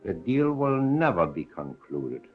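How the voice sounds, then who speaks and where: An actor playing a stern, coolly threatening male foreign agent in a 1930s crime film, with stiff, formal, deliberate delivery. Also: lowish voice, big pitch swings. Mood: cool threat. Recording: muffled 1930s film soundtrack, faint music.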